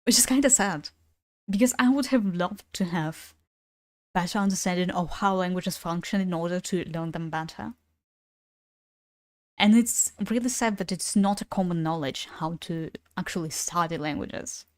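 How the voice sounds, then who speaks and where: A woman's voice: fairly low pitch, normal speed, no echo, clean studio sound. Chatty young woman streamer, Ukrainian native. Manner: chatty, swears casually, laughs between thoughts. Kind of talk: casual gaming stream chat.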